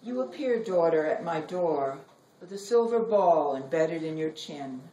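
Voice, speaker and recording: A middle-aged woman giving a live poetry reading in measured, deliberate phrases with dramatic pauses. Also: deep voice, lively intonation, warm tone, dull audio. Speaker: poet reading own work. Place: library reading room. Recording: roomy, noisy recording, distant from mic.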